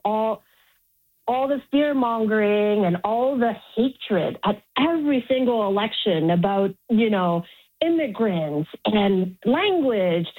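Emphatic woman on a muffled phone line. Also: mid-range voice. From Montreal, Canada. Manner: emphatic, frank, repeats words for stress. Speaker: radio phone-in caller. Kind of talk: spontaneous opinionated phone-in talk. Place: on a phone call to a radio show.

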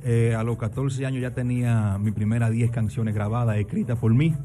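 A man's voice: medium pitch, normal speed, over music. A man in his thirties from Switzerland with a Dominican accent, an earnest, upbeat guest musician answering in a radio studio interview. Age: 30s. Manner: earnest, upbeat, repeats affirmations.

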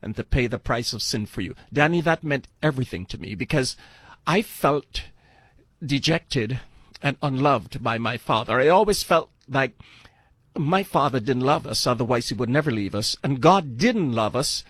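An older man from Barbados, shares his life story as a guest. His voice sits at a middle pitch in a dry recording. He is earnest and deliberate, returning to phrases to stress them. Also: expressive, fairly bright tone, no echo. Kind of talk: personal testimony storytelling.

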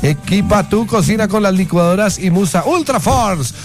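A man's voice: high voice, animated, normal speed. A man with a Colombian accent, co-hosting a radio show with casual banter and sponsor reads. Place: broadcast studio. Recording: music faintly behind. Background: music.

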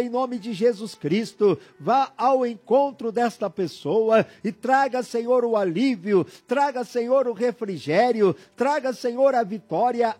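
A man's voice: very high voice, a little mellow. A man leading a fervent intercessory prayer, repeating his phrases and drifting into song.

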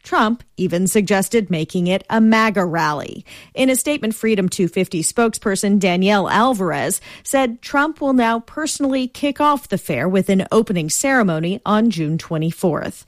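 A woman news correspondent filing a report with a brisk, wry delivery. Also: mid-range voice, expressive, no echo.

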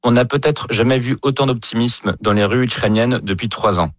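A man's voice: mid-range voice, normal speed, no echo. A man with an accent from France, giving analytical answers as an expert guest. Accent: French from France. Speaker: geopolitics professor, expert guest.

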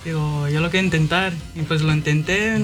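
A young man from Wisconsin, a band member giving quiet, brief answers. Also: on the high side, steady tone, brisk, music in the background. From Madison, Wisconsin.

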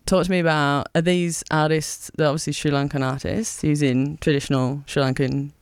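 A man's voice: a little high, level tone, a little fast, creaky, clear, resonant tone, no echo, studio quality. A man hosting a community radio show, interviewing a band in an upbeat, jokey, enthusiastic way. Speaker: radio host.

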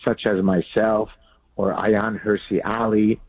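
A man from Lebanon whose first language is Arabic, a guest arguing his points in an emphatic, fast-flowing way. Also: fairly low pitch, little pitch movement, dry recording. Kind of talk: remote interview, argumentative commentary. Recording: tinny phone line.

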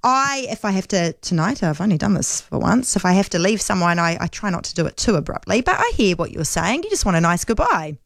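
A woman with a New Zealand accent hosts a radio phone-in. Her mid-pitched voice moves up and down animatedly as she chats with callers. The sound is dry and close, with little sense of a room. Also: very animated, brisk, creaky, hardly any pauses, dry recording. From Auckland, New Zealand. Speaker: talkback radio host. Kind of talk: chatty live phone-in talk.

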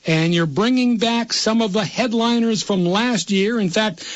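A man's voice: high-pitched, unhurried, lo-fi sound. A male radio host interviewing a guest live, rambling and often stumbling over repeated words.

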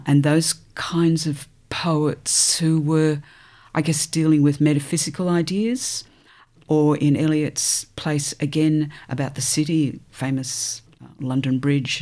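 An older woman with an Australian accent, a poet answering interview questions in a thoughtful, measured way, pausing between phrases. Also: sits low, darkish tone. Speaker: poet guest.

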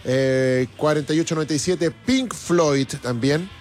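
A man's voice: fairly high pitch, unhurried, a little mellow. A man with a Chilean accent hosting a live music show, chatting and reading out listener comments, often restarting and repeating words. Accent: Chilean Spanish. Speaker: radio show host.

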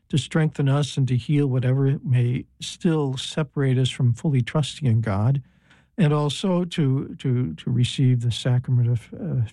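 An older man, a priest as a guest on a talk show, explaining church teaching in a halting, gentle way with many pauses. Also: medium pitch, no room sound.

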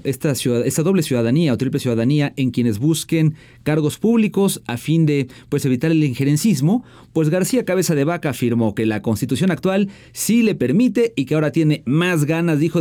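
A man's voice: a little high, animated, a little fast, few pauses, warm tone. A man with a Mexican accent presenting radio news in a partly scripted, partly conversational style as host.

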